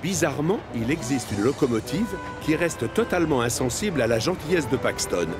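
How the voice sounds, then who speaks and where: A man's voice: mid-range voice, lively intonation, fairly quick. A man giving scripted storybook narration as the narrator of a children's cartoon. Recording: music behind the voice.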